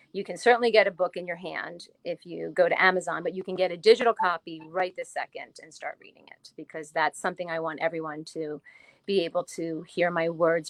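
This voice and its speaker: A woman's voice: on the low side, no echo. Chatty woman in her forties. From New Jersey, US. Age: 40s. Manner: chatty, animated storyteller, laughs often. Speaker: guest, author. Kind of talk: casual interview storytelling.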